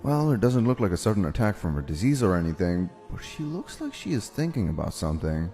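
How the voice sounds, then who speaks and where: A man's voice: fairly low pitch, very animated, a little fast, mellow. A man playing an anxious, considerate young man in an anime-style romance dub, speaking earnestly and expressively with some hesitation. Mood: curious, concerned. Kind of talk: anime-style dub acting and first-person narration. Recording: music bed behind the voice.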